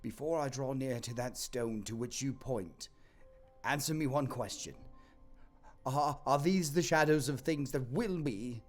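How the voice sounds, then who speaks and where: A man playing Scrooge, an old miser begging a spirit near tears, his voice straining high and desperate in an audio drama. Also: unhurried, faint music behind, close to an everyday voice. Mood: pleading. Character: aging miser Scrooge, terrified and pleading.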